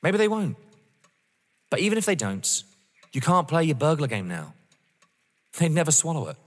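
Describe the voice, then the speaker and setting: A man acting a defiant, contemptuous, wounded rival in an audio drama, husky and emphatic. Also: on the high side, very animated, normal speed. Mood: wounded defiance. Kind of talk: dramatic stage-play acting. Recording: long echoing reverb.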